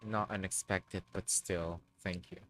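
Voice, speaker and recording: A man's voice: deep voice, steady tone, measured pace, fairly bright tone, faint music behind. A man streaming, reacting to a video game cutscene with muttered comments and exclamations.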